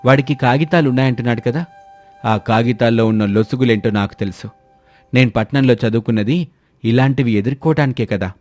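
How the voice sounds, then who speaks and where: A man playing a clever, confident young village man, reassuring and measured, in a moral story. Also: medium pitch, darkish tone, no room sound, soft background music, close to an everyday voice. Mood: resolute.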